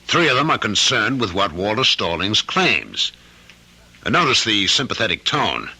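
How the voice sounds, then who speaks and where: An actor playing an evasive, smooth-talking executive husband, hesitant and placating, in an old-time radio drama. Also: male voice, fairly low pitch, sing-song, moderate pace, pushed and bright, exaggerated voice. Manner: hesitant, placating, self-justifying.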